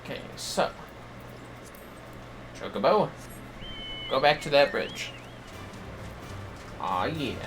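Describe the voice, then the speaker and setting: Tired, low-key man streaming games. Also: lively intonation, slow pace, pauses often, noisy background. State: tired, drowsy. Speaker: game livestreamer. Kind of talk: casual gaming livestream chat.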